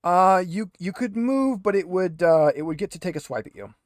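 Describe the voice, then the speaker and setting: A man's voice: high voice, lively intonation, normal speed, dry recording, clean studio sound. A man acting as game master, chatting casually and explaining the rules step by step. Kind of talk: casual tabletop game chat.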